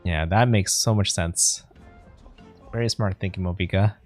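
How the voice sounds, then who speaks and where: A woman's voice: extremely low pitch, normal speed, darkish tone, faint music behind. A woman streaming a video game, giving casual commentary and reading some dialogue aloud.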